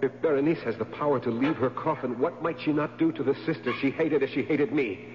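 An actor playing a grief-stricken, obsessive man in a 1970s radio drama, speaking in an overwrought, halting way and repeating his words. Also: medium pitch, natural voice. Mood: frantic, obsessive. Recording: muffled vintage radio broadcast with hum.

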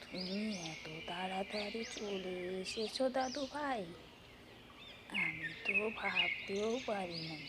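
A woman plays a doting village grandmother in a cartoon folk tale, anxious and quick to scold. Her pitch is average and her manner fussing and pleading. Loud noise and birdsong run behind her. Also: natural voice.

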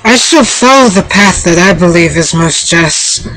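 A woman's voice: lively intonation, unhurried, fairly bright tone, no echo. A woman voicing a proud, stern young samurai warrior woman for a video game, with formal, haughty delivery on an amateur microphone. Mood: solemn, defiant. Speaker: amateur voice actor. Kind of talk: video game character voice reel. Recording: low-quality amateur microphone.

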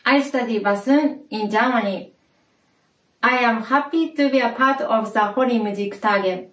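A woman from Japan, a bassoon student, introducing herself carefully. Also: unhurried, a bit bright. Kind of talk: self-introduction to camera. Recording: echoey room, mic at a distance.

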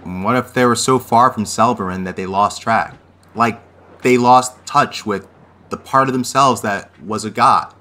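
A man playing an anxious, earnest teenage boy in a fantasy audio drama, speaking haltingly. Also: mid-range voice, bright, forceful tone. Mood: earnest theorizing. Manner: hesitant, halting, stammering.

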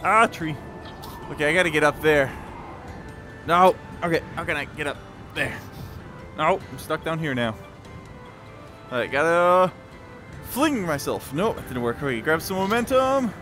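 A man's voice: fairly high pitch, animated, moderate pace, long pauses, sharp tone. A man giving playful, casual commentary as he plays a video game. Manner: playful, muttering reactions and exclamations. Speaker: gaming video host. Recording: game music behind.

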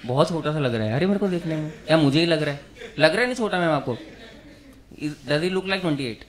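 A man in his thirties doing stand-up, teasing audience members in quick back-and-forth banter on a club stage with a handheld mic. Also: a little high, expressive. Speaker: stand-up comedian. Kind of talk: crowd-work roast.

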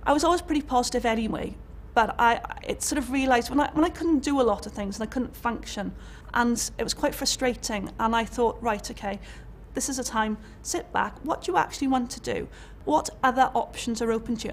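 A woman's voice: on the high side, fast talker, few pauses, a little hiss or hum. A young woman with a Welsh accent, a college lecturer, talking candidly about her career in an interview, chatty with many fillers and restarts. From Wales. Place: indoor college room.

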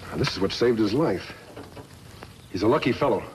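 An actor playing a heroic masked lawman in a 1950s radio western, calm, reassuring and authoritative. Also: fairly low pitch, fairly quick, lightly acted. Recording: old radio recording, faint noise.

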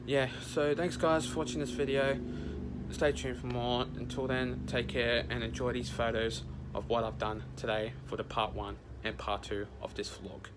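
A man's voice: mid-range voice, little pitch movement, normal speed, noisy background. A young man from Australia closing his vlog to a phone camera, rambling and repeating himself as he thanks viewers. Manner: rambling, repetitive sign-off. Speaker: vlogger.

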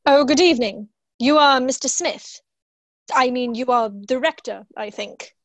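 Young woman acting courteous secretary, high female voice, formal, efficient, dry sound, stage reading. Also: measured pace, a bit bright, no room sound, muffled recording. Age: young adult. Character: polite, efficient secretary to a duke. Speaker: actor in a play reading. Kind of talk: theatrical play reading. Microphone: earphone headset on video call.

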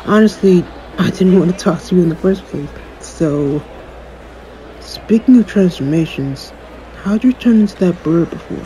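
A man's voice: high voice, normal speed, warm tone, a little hiss or hum, natural voice, low-quality recording. A man playing a cocky, jaded young superhero in an audio roleplay, talking in a dry, sarcastic, aloof way. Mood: aloof, smug. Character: jaded, cocky young male superhero, dismissive of his fan. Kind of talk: audio roleplay acting, one-sided conversation.

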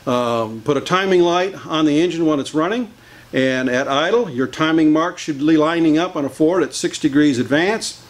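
A man's voice: fairly high pitch, lively intonation, normal speed. Older man explaining boat engine repair. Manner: plain-spoken, many uh fillers, hands-on demonstrating. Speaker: boat mechanic. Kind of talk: workshop how-to explanation. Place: large boat workshop indoors. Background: idling boat engine.

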